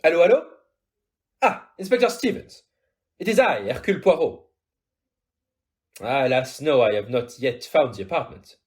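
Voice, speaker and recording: A man's voice: medium pitch, expressive, normal speed, long pauses, natural voice. A man playing a courteous, self-assured Belgian detective in an audio play, with a French-speaking Belgian accent and formal, precise, theatrical phrasing. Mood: brisk, formal.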